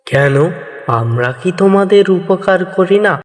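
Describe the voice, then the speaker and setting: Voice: medium pitch, lively intonation, measured pace, big-room sound, very noisy, natural voice. An actor voicing an aggrieved, resentful talking tree in a cartoon folk tale, speaking reproachfully. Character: aggrieved, resentful old tree passing judgment. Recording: music behind the voice. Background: music.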